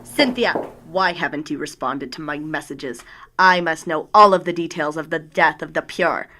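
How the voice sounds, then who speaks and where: A woman's voice: sits low, normal speed, sharp tone. A woman playing a demanding, imperious rebel leader on a call in a comic space audio drama.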